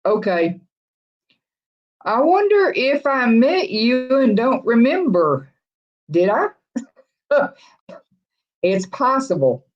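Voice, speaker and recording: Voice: mid-range voice, very animated, slow, pauses often. A livestream host rambles through viewer comments in casual chat. The host chuckles often and restarts sentences a lot. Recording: dull lo-fi livestream audio.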